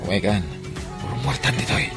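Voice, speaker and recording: A man's voice: fairly low pitch, fast talker, natural voice. A man with an Ilocano Filipino accent playing a sly, coaxing seducer in a radio drama. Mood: coaxing, smug. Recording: very loud music under dialogue.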